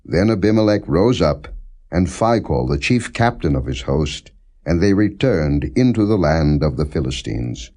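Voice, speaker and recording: A man with a deep voice narrates scripture as an audiobook. He reads formally and solemnly, setting out each phrase in a measured, deliberate way without dramatizing it. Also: lively intonation, warm tone. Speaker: scripture reader.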